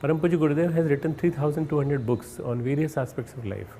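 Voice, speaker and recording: A man with an Indian accent giving a recorded spiritual message, earnest and instructive, often pausing and restarting words. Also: on the high side, mellow, slight background noise. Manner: hesitant, repeats and restarts words. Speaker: spiritual leader.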